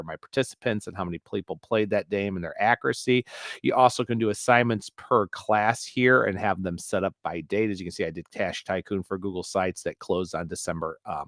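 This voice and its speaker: A male teacher with a naturally loud voice, walking through a screen-recorded software tutorial in a rambling way full of fillers and restarts. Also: on the low side, dry recording, studio quality.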